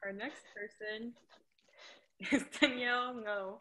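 A woman's voice: on the high side, steady tone, takes it slowly, bright, forceful tone, low-quality recording. A young woman casually presenting and reading introductions on a video call. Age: young adult. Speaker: presenter at an online graduation.

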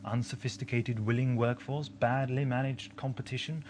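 A man's voice: medium pitch, fairly even intonation, moderate pace, lightly acted. Man acting a theatrical time-traveller on noisy old tape. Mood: wry, breezy. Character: eccentric male time-travelling adventurer, glib and theatrical. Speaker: voice actor in an amateur audio drama. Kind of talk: audio drama acting. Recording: noisy lo-fi 1980s amateur tape.

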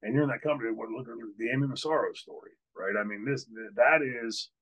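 A man's voice: medium pitch, fast talker, a bit bright, low-quality recording. An older man, host and former wrestler, telling a candid story on a video call from a hotel room, with many fillers and restarts. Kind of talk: storytelling in an interview. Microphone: video call, webcam.

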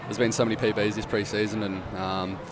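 Low flat-voiced young Australian footballer. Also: male voice, fairly quick, loud music behind the voice. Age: young adult. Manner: low-key, flat answers. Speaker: footballer guest. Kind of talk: post-match interview. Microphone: headset mic. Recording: noisy room behind.

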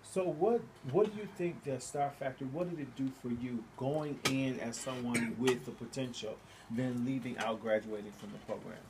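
An animated man hosts a radio show, gushing over his guests and laughing a lot. He sits a little back from the microphone, so his voice sounds distant. A clear layer of noise runs under him. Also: medium pitch, moderate pace. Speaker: radio host. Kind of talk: enthusiastic interview hosting. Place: small radio studio. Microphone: studio boom mic. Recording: distant mic with audible noise.

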